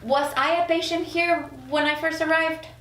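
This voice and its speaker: A woman's voice: extremely high pitch, steady tone, normal speed, a bit bright. A woman playing a sceptical, questioning newly dead woman at a script table read. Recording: echoey room, distant mic.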